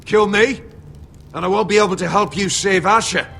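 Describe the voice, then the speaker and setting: A man playing an aggrieved, loyal castle steward in a dramatic fantasy game, speaking earnestly and emphatically with a gravelly voice. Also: sits high, moderate pace. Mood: urgent, pleading. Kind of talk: dramatic video game acting. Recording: music under the voice.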